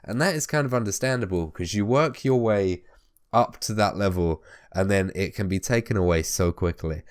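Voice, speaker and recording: A man hosting a gaming video, a little hoarse as his voice comes back, talking tips in a chatty way and swearing casually. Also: fairly low pitch, normal speed. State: hoarse, recovering from losing his voice. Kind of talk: casual spoken game tips.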